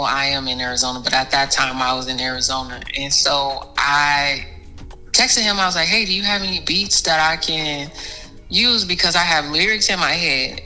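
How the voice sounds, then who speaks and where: A woman's voice: fairly low pitch, pushed and bright, poor audio. A woman guest in a casual interview, talking animatedly about her music with a soothing voice, often restarting words. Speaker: podcast guest, singer.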